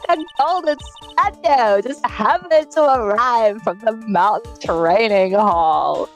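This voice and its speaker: A woman playing a composed exhibit supervisor giving measured testimony in a live comedic dub of a courtroom game. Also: on the high side, expressive, soft background music. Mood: composed, explanatory. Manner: measured, explanatory.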